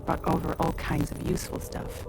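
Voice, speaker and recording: An actor playing a plain-spoken, informal teammate sharing findings in a video game cutscene, with a gravelly voice. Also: male voice, a little fast, noisy background, natural voice. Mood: informative.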